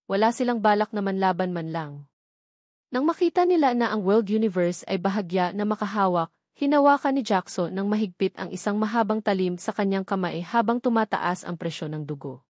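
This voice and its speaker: Female narrator for serialized fiction: medium pitch, scripted delivery, dry, echo-free sound. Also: darkish tone. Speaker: audiobook narrator.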